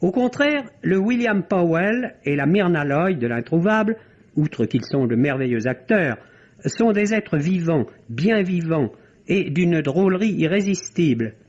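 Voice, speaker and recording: A man's voice: a little high, animated, a little slow, darkish tone. A man delivering a scripted radio review as a critic, with articulate, literary phrasing. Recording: dull vintage radio recording.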